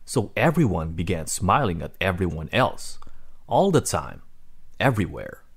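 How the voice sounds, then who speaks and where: A man reading a children's storybook aloud as narrator, in an expressive, dramatic way. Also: low-pitched, moderate pace, slightly rough. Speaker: read-aloud host.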